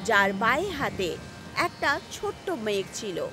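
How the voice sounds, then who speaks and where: A woman narrating a scripted children's story in an expressive storytelling manner. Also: a little high, takes it slowly. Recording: music behind the voice.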